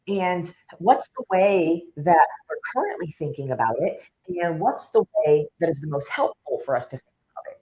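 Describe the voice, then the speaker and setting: A woman co-hosts a motivational livestream as a wellness coach. Her voice sits fairly low but swings widely in pitch as she presses her points directly on the viewers. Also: a little mellow, poor audio. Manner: direct, urgent, addresses viewers.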